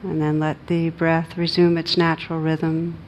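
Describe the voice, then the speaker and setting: A woman meditation teacher leading a guided meditation, giving gentle, unhurried instructions with long pauses. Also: low-quality recording. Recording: steady background noise with low rumble.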